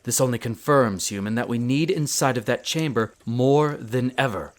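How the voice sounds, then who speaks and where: A man's voice: medium pitch, lightly acted. A man playing a proud, prickly winter elf archaeologist who talks formally and haughtily in a scripted audio roleplay addressed to the listener. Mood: intrigued, pondering. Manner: haughty, formal, exasperated, theatrical pauses.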